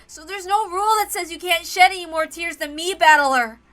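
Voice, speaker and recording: Woman acting out an impassioned, high-voiced teenage boy. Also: a little fast, bright, forceful tone, faint music behind. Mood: pleading. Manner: impassioned, emphatic pleading. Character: anguished, hot-blooded teenage boy consoling his older cousin. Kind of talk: dramatic visual novel read-aloud.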